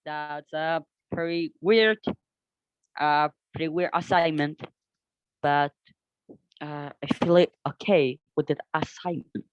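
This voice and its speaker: A young man with a Colombian Spanish accent talks through his class podcast project, his voice sitting slightly high. He stumbles and restarts often, feeling for the right English words. The recording sounds dull and dry, close to the mic. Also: steady tone, clear, resonant tone, dry recording. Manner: halting, searches for words, frequent restarts in hesitant English. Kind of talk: unscripted class presentation.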